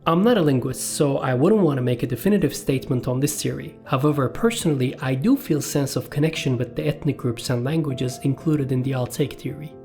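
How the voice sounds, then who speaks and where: A man who grew up speaking Turkish narrates an educational video at a middle pitch. He reads in a measured, list-like way. Soft music plays faintly behind him. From Turkey. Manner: measured, list-reading delivery. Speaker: language video host.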